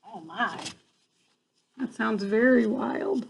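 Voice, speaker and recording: An older woman with a fairly high voice tells a children's story at a very unhurried pace. She reads like a grandmother, pausing between phrases and slipping in chatty, coaxing asides for small listeners. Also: creaky, slightly rough, highs cut off. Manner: coaxing, checks in with young listeners. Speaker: grandmother reading a picture book to children.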